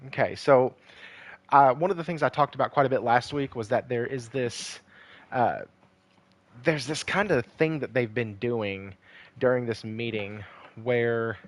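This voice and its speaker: Hoarse man rambling on a gaming stream. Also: mid-range voice, measured pace. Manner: rambling, many "uh" fillers; chatty, greets viewers by name. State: hoarse, losing his voice. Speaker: gaming livestream host. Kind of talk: casual livestream commentary.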